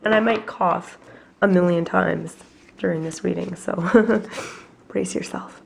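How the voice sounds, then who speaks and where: Woman tarot reader, husky with a cold, gravelly and lowish, chatty at home. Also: steady tone, slow, a little hiss or hum. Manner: chatty and reflective, with many fillers. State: has a cold, coughing. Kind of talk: casual weekly tarot reading to camera.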